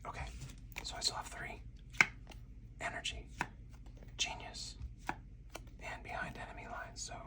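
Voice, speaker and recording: Someone speaking in an unvoiced whisper, narrating each move of a solo card game step by step as ASMR commentary. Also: distant mic. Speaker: host of a solo tabletop game channel.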